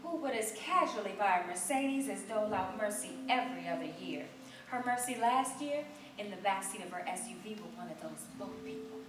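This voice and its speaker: A woman's voice: medium pitch, lively intonation, a little fast. A woman performing a literary narration as one of the readers in a live staged reading. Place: theater stage, recorded live. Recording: roomy, distant live theater recording, noisy.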